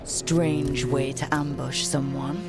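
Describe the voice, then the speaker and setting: A woman's voice: little pitch movement, takes it slowly, loud music behind the voice, full character voice. A woman playing a resolute, wry adventuring heroine in a video game, with a dry and guarded delivery. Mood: dry challenge. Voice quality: low, gravelly. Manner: dry, guarded, matter-of-fact. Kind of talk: natural video game dialogue acting.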